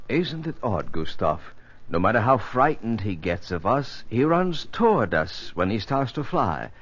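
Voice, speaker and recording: Slow, low-voiced earnest dreamer. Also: male voice, very animated, fairly bright tone, heavily acted. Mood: curious. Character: earnest, wonder-struck male dreamer of flight. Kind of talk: 1950s radio drama acting, poetic recitation. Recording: muffled old radio recording.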